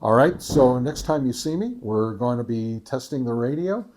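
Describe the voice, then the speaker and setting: A man hosting a casual product test, talking in a methodical, halting way and reading out figures deliberately. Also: mid-range voice, expressive, darkish tone, poor audio. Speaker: video host, radio reviewer.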